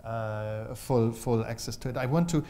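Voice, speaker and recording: A man's voice: mid-range voice, moderate pace, dark tone, slight background noise. A man, an economist, answering questions at a conference panel, thoughtful and restarting his phrases often. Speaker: economist presenting a report. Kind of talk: panel discussion remarks. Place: conference hall.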